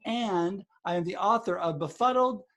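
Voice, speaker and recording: A man's voice: sits high, normal speed, fairly bright tone. A man hosting a podcast, upbeat and promotional as he introduces his guests. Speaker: podcast host.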